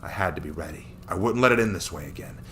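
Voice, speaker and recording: A man's voice: low voice, animated, fast talker, a bit bright, slight background noise. A man reading a dramatic action story aloud as its narrator.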